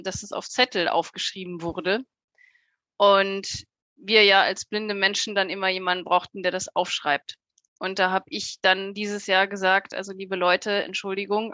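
A woman's voice: mid-range voice, pushed and bright, no room sound. A young woman talking conversationally as an interview guest, in a talkative, emphatic way. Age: young adult. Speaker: radio-trained journalist, jury member as podcast guest. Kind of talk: conversational interview, opinion and anecdote.

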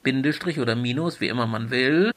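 A man's voice: medium pitch, fairly quick, fairly bright tone. A man hosting a podcast in an informal moderating style. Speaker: podcast host.

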